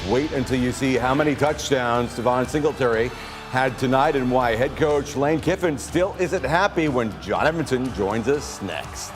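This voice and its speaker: A man's voice: mid-range voice, moderate pace, over music. A man anchoring a local TV newscast, reading the script in a polished anchor style. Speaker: news anchor.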